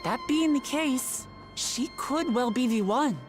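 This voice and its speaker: A woman's voice: sits high, expressive, takes it slowly, over music. A woman acting an earnest, concerned young heroine in a video game cutscene, thoughtful and sincere.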